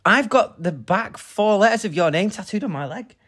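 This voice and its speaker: A young man from Manchester speaks in a Mancunian accent. He co-hosts a relaxed couple's podcast, teasing, joking and swearing freely as he talks. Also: high voice, normal speed, breathy edge. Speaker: podcast co-host. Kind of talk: casual chat.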